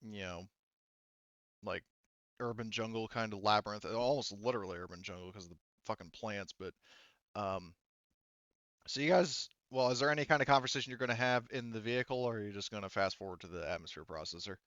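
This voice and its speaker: Guy game mastering, rambles and swears, smooth clear voice, dry close sound. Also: on the low side, brisk, fairly bright tone, no echo, dull audio. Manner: rambling, profane. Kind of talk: casual tabletop roleplaying session.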